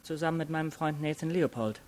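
A man's voice: on the high side, fast talker, a little mellow, close to an everyday voice. An actor playing a guarded, haughty nineteen-year-old male law student in a radio crime drama, curt and self-assured. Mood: wary, curt. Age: young adult.

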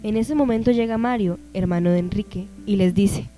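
A Colombian woman narrates a radio drama from a script. Her pitch is average for a woman. Music is clearly heard behind her. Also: fairly quick, dark tone.

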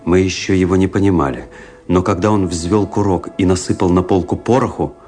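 Deep, grave male narrator over music. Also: a little fast, dark tone, lightly acted. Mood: tense narration. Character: grave officer recounting a fateful memory. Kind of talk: literary radio play narration.

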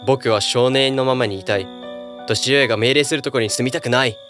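A man's voice: mid-range voice, brisk, fairly bright tone, soft background music, natural voice. A man voicing a brave, carefree boy hero with an eager, youthful manner in a children's storybook cartoon. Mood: calm, earnest.

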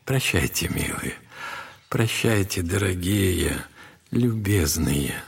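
An actor playing a saintly old monastery elder, gentle and consoling, in a hoarse audio drama performance. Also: male voice, sits low, animated, full character voice. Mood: tender farewell. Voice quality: hoarse, gravelly. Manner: slow, tender, consoling.

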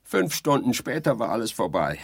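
An actor playing a haughty, exasperated dead sleep researcher in a theatrical radio play, declaiming and lecturing indignantly. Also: male voice, medium pitch, big pitch swings, moderate pace, creaky, slightly rough, heavily acted. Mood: melancholy, dramatic.

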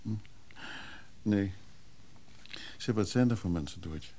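An actor playing a weary, solicitous antiques dealer in a Dutch radio drama, speaking in short, hesitant sentences. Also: male voice, fairly low pitch, takes it slowly, dark tone, slight background noise. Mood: hushed, consoling. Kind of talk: naturalistic Dutch radio play acting.

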